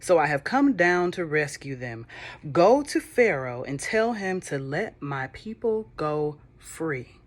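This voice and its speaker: A woman voicing God speaking from a burning bush, slow and solemn. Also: deep voice, big pitch swings, full character voice.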